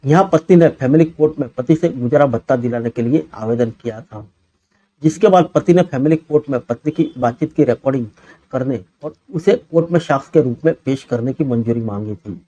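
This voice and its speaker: A man's voice: mid-range voice, a little fast, warm tone, no room sound. A man reads a scripted news bulletin as the presenter.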